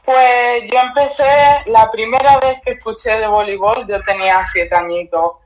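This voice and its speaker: A woman's voice: a little high, steady tone, moderate pace. A young woman, born in Cuba and raised in Spain, answering interview questions in a chatty, casual way and laughing often. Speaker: guest volleyball player. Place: remote call from abroad. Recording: dull lo-fi remote line.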